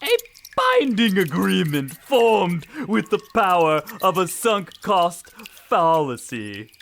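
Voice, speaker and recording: A woman's voice: on the low side, wildly swooping pitch, slow pace, vocal fry, a little hiss or hum, full character voice. Woman as a swooping, theatrical villainous rat king. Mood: menacing, gloating. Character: theatrical menacing Rat King, a talking rat monster. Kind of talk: comedic horror radio play acting.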